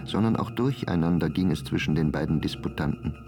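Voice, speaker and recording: A man narrating a literary radio play from a script in a measured, formal way. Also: a bass voice, over music.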